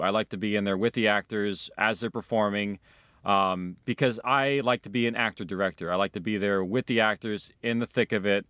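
A man with a lowish voice explains his work as a director. He speaks in an earnest, conversational interview style. The recording is dry and close. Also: fairly bright tone, dry recording. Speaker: audio drama creator and director.

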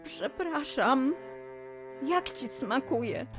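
An actress playing a timid, anxious, doting mother and battered wife in an audio drama, speaking haltingly. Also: high-pitched, lively intonation, soft background music, heavily acted. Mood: meek, nervous. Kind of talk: Polish audio drama acting.

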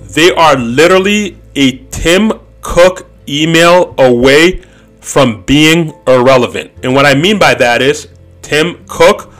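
A man's voice: on the high side, a bit bright. Male podcast host, 30s, blunt and opinionated, casual monologue, faint music bed.

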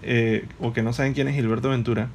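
A young man co-hosting a casual podcast with his partner, telling stories in an animated, joking way. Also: mid-range voice. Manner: joking, animated storytelling with quick laughs. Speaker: podcast co-host. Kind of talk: casual couple podcast chat.

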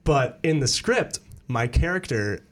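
A young man from Pennsylvania, a musical theatre student, chatting as a guest on a radio interview and telling stories in an animated way. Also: mid-range voice. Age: young adult. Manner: animated storyteller, quick with restarts. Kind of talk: casual radio interview chat.

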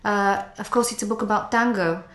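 A woman with a Bulgarian accent and a trace of a New Zealand twang, a writer speaking reflectively as an interview guest, often pausing with fillers. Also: mid-range voice, fairly even intonation, a bit bright. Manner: reflective, halting, many fillers. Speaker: author guest. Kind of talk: interview conversation. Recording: echoey room, faint noise.

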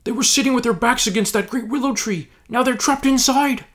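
A man's voice: extremely high pitch, brisk, fairly bright tone, pitched above the performer's own voice. A man voicing a panicky young male hobbit who squeals and pleads frantically in a comic audio story. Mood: pleading. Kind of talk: comic audio fan fiction.

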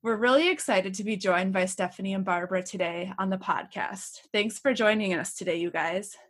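A woman's voice: mid-range voice, fairly quick, fairly bright tone. A woman hosting a podcast, gushing and eager as she introduces and questions her guests. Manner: enthusiastic, gushing. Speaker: podcast host. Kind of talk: podcast interview.

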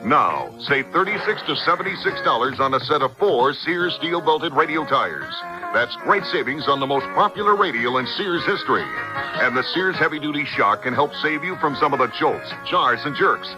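A man's voice: on the high side, lively intonation, normal speed, dull audio. A man announcing in a scripted, promotional 1970s radio style. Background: music.

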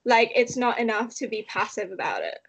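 A young woman from Malaysia answers questions in a loose, chatty way, her sentences full of "like" fillers. Her voice sits a bit high. The recording sounds dull and lo-fi. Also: expressive, a little fast, husky. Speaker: interviewee. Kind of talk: casual conversational interview.

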